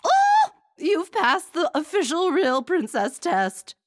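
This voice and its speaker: A woman voicing a fussy, set-in-her-ways queen in a storybook, high and squealing with drawn-out words. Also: wildly swooping pitch, darkish tone, a little hiss or hum. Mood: gleeful.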